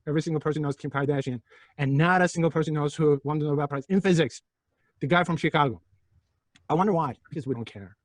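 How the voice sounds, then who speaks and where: A man's voice: a little high, fast talker, dry recording. A middle-aged man from Turkey lecturing a physics class, joking and throwing rhetorical questions at his students.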